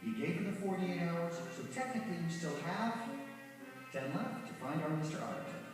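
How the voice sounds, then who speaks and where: A man's voice: a little high, expressive, moderate pace, close to an everyday voice. Man as a sly cartoon fox, very echoey. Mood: cool defiance. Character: sly, self-assured adult male fox hustler. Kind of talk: animated film dialogue. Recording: echoey, distant, music behind.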